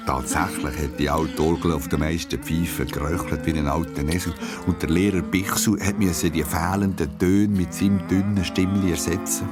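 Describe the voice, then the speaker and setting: A man with a Swiss German accent narrating a children's radio play as a storyteller. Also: sits low. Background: music.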